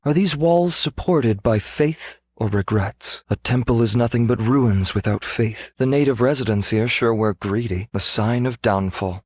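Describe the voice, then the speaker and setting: A male actor voicing a scholarly, world-weary archaeologist in a video game, speaking in a measured, reflective, formal way. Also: mid-range voice, no echo, natural voice. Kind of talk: scripted game character voice lines.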